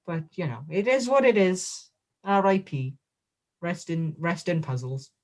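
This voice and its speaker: A man giving a comedic, in-character interview as a guest wrestler, deadpan, boastful and absurd. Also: sits high, unhurried.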